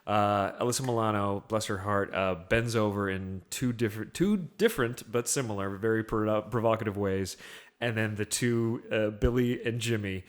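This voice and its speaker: A man on a podcast panel, discussing films casually in a halting, rambling way. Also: on the low side.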